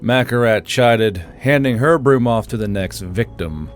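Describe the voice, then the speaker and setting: A man's voice: mid-range voice, unhurried. A man reading scripted fantasy fiction as the narrator of an audiobook. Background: music.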